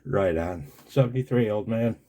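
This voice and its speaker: An older man from the United States in a casual, unscripted vlog as a radio operator, blunt and wry with a gravelly, husky voice. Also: fairly low pitch, moderate pace, background noise. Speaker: amateur radio operator and video host. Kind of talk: casual, unscripted video commentary.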